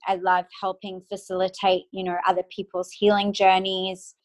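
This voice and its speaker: A woman in her thirties from Australia, a guest speaking earnestly and with emotion in an interview, halting at times. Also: medium pitch, completely flat delivery. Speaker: guest, clinical psychologist. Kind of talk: remote interview.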